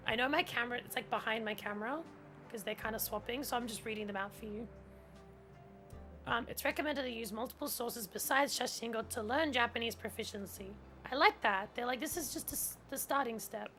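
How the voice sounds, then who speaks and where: German-native woman streamer, casual and rambling, reads prompts aloud, a bit high-pitched. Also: fairly quick, pushed and bright, faint music behind. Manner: chatty, heavy use of "like", reads on-screen tutorial text aloud. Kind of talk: casual gameplay stream commentary.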